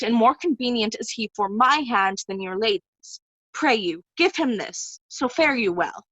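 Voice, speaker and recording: A woman's voice: fairly high pitch, a bit bright, dry recording, low-quality recording. A woman playing a jealous, scheming noblewoman in an amateur Shakespeare reading, probing and imperious. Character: scheming, jealous noblewoman Regan, coolly commanding. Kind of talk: amateur Shakespeare reader's theatre.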